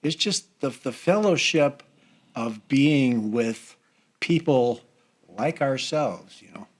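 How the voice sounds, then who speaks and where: An older man with an American accent speaks in a casual, earnest way as a guest. His voice is of middling pitch. He sounds like someone talking plainly among friends. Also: lively intonation, slow. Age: older, over 50. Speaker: church seniors' group member, guest.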